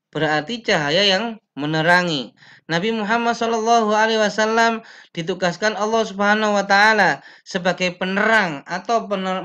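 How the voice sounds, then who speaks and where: A young man from Indonesia, a teacher, reads lesson slides aloud at a slow, measured pace, pausing and filling gaps with "eh". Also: a little high, fairly bright tone. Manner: slow, measured reading with pauses and fillers. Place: indoor room.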